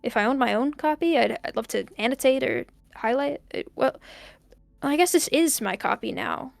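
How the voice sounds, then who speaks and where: A woman's voice: high-pitched, expressive, creaky, no echo, soft background music, full character voice. A young woman playing a lonely, bookish student recording a hesitant, reflective audio diary for an audio drama. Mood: quietly moved. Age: young adult. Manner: halting, reflective, trailing off. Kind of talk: intimate audio diary monologue.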